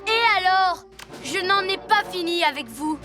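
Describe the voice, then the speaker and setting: Cartoon dub: scheming kid ninja villain, pompous and taunting, very high voice, loud music underneath. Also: female voice, expressive, a little slow. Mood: defiant, menacing. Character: scheming boastful young boy ninja villain. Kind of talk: French cartoon dubbing. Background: loud cartoon score.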